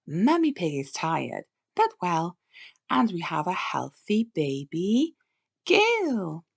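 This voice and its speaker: A woman voicing a cheerful, gentle daddy pig in a children's storybook, explaining and announcing. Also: fairly low pitch, wildly swooping pitch, slow pace, creaky, breathy edge, exaggerated voice. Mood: proud, glad. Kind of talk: children's storybook read-aloud.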